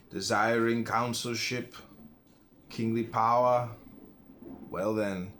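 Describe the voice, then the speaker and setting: Low-voiced man reading philosophy aloud. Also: slight background noise. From United States. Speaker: audiobook reader.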